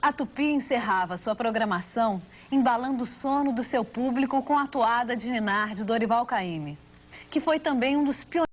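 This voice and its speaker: A woman's voice: fairly high pitch, normal speed. A woman reading scripted documentary narration.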